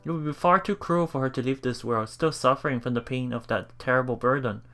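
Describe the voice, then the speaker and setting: A man's voice: medium pitch, brisk, fairly bright tone, soft background music, close to an everyday voice. A man voicing a desperate, determined young male protagonist of a visual novel, pleading and intense.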